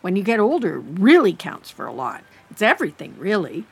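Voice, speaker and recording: A woman's voice: medium pitch, huge pitch swings, a little fast, vocal fry, husky, exaggerated voice. An actress playing an anxious, prying middle-aged mother in an audio drama, fussing and rambling as she talks. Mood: reassuring, earnest. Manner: fussing, rambling, hesitant when defensive. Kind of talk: naturalistic audio drama dialogue.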